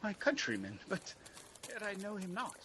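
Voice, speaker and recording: A man playing an earnest exiled prince in a Shakespearean radio drama, declaiming verse with a husky voice. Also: high-pitched, big pitch swings, bright, forceful tone, slight background noise, exaggerated voice. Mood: surprised, welcoming. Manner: deliberate, declamatory verse delivery. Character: Malcolm, earnest exiled Scottish prince rallying for war.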